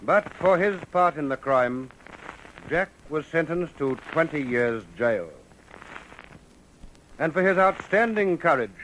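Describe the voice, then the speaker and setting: A man's voice: a little high, a bit bright. A man with an Australian accent reading formal scripted narration for an old radio programme in a solemn, declamatory way. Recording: noisy old radio broadcast recording.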